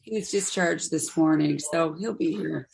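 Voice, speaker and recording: A woman acting a gentle, supportive friend in her fifties in a play reading, speaking in a reassuring way. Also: low voice, moderate pace, creaky, slight background noise, poor audio. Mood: matter-of-fact, caring. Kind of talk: play reading, naturalistic dialogue.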